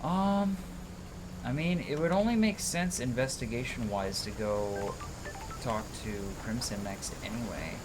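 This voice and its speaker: Dude streaming a game, casual and sweary, reads the character lines out loud, some ambience in back. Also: male voice, mid-range voice, unhurried, background noise. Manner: sweary, informal. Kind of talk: casual let's-play commentary, reading game dialogue aloud.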